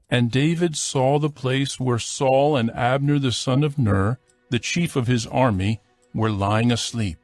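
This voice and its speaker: A man narrating a dramatized scripture reading in a measured, formal, scripted style. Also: mid-range voice, a little mellow, dry recording.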